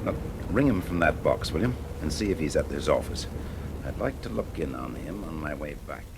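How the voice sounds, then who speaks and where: An actor playing a measured, courteous English fire investigator in a radio drama, polite and probing in a businesslike way. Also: male voice, rumbling low, lively intonation, background noise. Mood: brisk, inquiring. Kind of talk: mid-century British radio drama acting.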